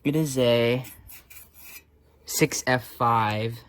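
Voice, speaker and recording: A man with a mid-pitched voice talks very slowly through a hands-on hobby job. His delivery is casual and unscripted, like someone thinking aloud at a workbench. He drops dry, sarcastic remarks under his breath as he goes. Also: fairly bright tone, smooth. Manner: wry, sarcastic, muttering asides. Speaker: hobbyist restorer and video host. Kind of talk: casual off-the-cuff workbench commentary.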